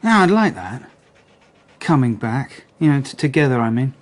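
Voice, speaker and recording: An actor playing a reserved, methodical and quietly humane police detective in a radio drama, speaking in a terse, understated way. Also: male voice, medium pitch, big pitch swings, moderate pace, close to an everyday voice. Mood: tired, relieved.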